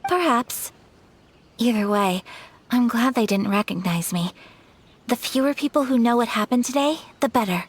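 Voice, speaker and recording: A woman voicing a graceful young noblewoman in a game, speaking formally, gently and in a measured way. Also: a little high, expressive, breathy edge. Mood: polite. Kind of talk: game voice acting.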